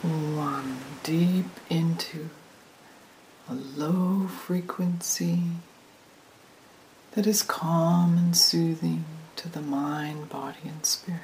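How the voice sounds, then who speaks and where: A woman leading a guided meditation as a hypnotic induction, soothing and often dropping to a breathy near-whisper. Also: deep voice, very slow, low-quality recording. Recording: hissy recording with steady noise.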